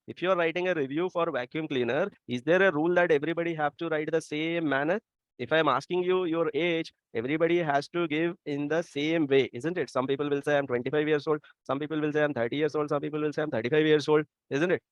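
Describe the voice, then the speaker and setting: Indian male teacher who talks fast and quizzes the class. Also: fairly high pitch, fairly even intonation, no echo. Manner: quick, asks the class for answers. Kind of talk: classroom lecture. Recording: dry, dull lo-fi sound.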